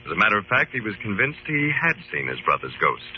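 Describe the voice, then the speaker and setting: An actor playing a calm, authoritative club leader, formal and measured, in old-time radio drama style. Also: male voice, on the low side. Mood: authoritative, explaining. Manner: formal, measured, clearly enunciated. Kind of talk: 1940s-50s radio drama acting and narration. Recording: muffled old radio broadcast with hiss.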